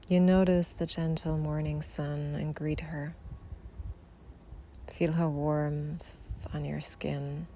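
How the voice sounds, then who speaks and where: Very slow, low-voiced woman guiding meditation. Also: level tone. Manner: soft, unhurried, with long pauses. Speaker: meditation guide. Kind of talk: guided Yoga Nidra meditation. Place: outdoors in nature. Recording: outdoor recording with faint ambient noise. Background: faint natural ambience.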